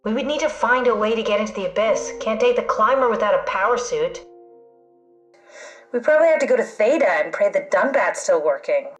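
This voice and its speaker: A woman's voice: medium pitch, lively intonation, brisk, faint music behind, natural voice, poor audio. An actress playing a wry, pragmatic woman scientist in a video game, with a matter-of-fact manner. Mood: focused.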